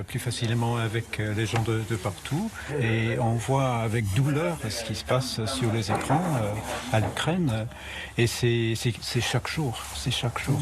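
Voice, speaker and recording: Hesitant man with crowd noise behind. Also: mid-range voice. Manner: hesitant, many fillers and restarts. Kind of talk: interview testimony.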